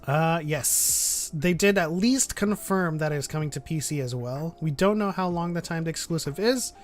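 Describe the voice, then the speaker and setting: Higher-voiced man hosting a casual livestream. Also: moderate pace. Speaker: host reading viewer comments. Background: music.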